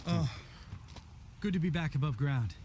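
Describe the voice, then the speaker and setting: An actor playing an irritable, cocky fighter in a video game, brash and sarcastic. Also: male voice, fairly high pitch, animated, normal speed, darkish tone, slight background noise, natural voice. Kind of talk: video game cutscene acting.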